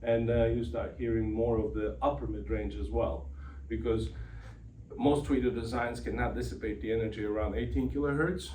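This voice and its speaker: A man giving an informal product presentation as an exhibitor, with a halting delivery and frequent throat clearing, recorded in an indoor demo room. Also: medium pitch, steady tone, dark tone. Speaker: exhibitor presenting an audio system. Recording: roomy, distant mic, faint background noise.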